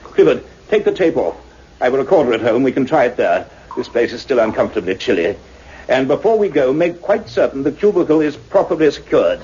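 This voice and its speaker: A man's voice: mid-range voice, very animated, darkish tone, close to an everyday voice. An actor as an earnest, commanding male doctor-scientist. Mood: earnest, authoritative. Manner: deliberate, urgent questioning. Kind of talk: radio play acting and narration. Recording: muffled, noisy radio play recording.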